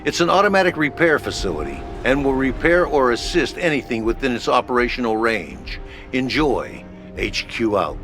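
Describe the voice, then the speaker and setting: An actor playing a wry, brash headquarters officer relaying orders in clipped, informal military talk for a video game. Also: male voice, medium pitch, sing-song, normal speed, a bit bright, faint music behind. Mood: brisk, wry. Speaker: headquarters radio operator. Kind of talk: scripted game radio dispatches.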